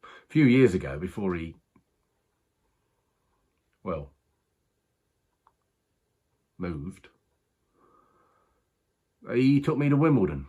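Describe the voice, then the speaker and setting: A man performing a working-class betting man in a dramatic monologue. His voice is low and husky, and he talks in a halting, confiding way, full of colloquial turns and pauses, with a rueful air. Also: big pitch swings, dark tone, highs cut off, exaggerated voice. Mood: wistful. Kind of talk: dramatic monologue, conversational storytelling.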